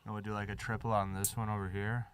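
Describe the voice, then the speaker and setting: A man's voice: on the low side, little pitch movement, fast talker. A man making beats in a studio, chatting casually and explaining music theory step by step. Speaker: music producer. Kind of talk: casual studio chat while making a beat. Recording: loud music playing behind.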